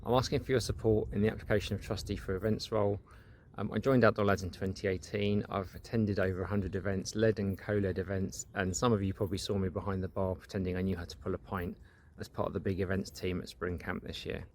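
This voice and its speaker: A man's voice: deep voice, flat delivery, quick pace, a little mellow, a little hiss or hum. A man delivering a prepared manifesto, asking members to support his application for a trustee role. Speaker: trustee candidate. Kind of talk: recorded manifesto video.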